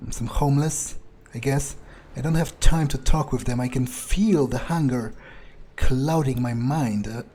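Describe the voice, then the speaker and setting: A man role-playing an arrogant, brooding vampire in a game playthrough, musing his thoughts aloud in a halting, dryly profane way. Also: mid-range voice, dark tone, slight background noise, close to an everyday voice. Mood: wary, impatient. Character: brooding, arrogant, blood-hungry male vampire.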